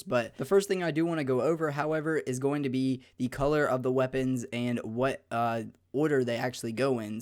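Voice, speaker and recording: A young man hosting a gaming channel, slightly hoarse, talking through a beginner's game guide in an upbeat, chatty way with many fillers. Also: mid-range voice, a little mellow. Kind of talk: casual gaming guide talk.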